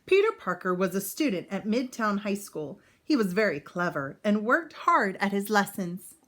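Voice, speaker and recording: A woman reads a children's story aloud for young listeners. Her mid-pitched voice moves up and down in an animated, expressive way, and she adds small explanations as she goes. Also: normal speed. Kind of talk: children's book read-aloud.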